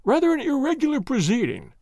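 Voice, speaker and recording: A man's voice: high-pitched, very animated, a little fast, dry recording, clean studio sound, pitched above the performer's own voice. A man voicing a hearty, blustering middle-aged police inspector. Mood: grudging.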